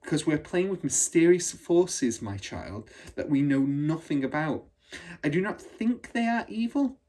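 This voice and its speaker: A man's voice: on the high side, animated, unhurried, darkish tone. A man voicing a kind, patient and cautious woman schoolteacher in a children's storybook, speaking in a careful, explanatory way. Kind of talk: children's storybook read aloud.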